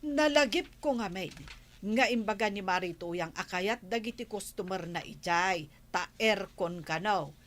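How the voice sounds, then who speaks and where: A woman's voice: medium pitch, measured pace, a bit bright, slight background noise, natural voice. A woman playing a cautious village housewife with an Ilocano accent, hesitant and conversational, in an audio drama. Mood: questioning. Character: village housewife, cautious, concerned about money.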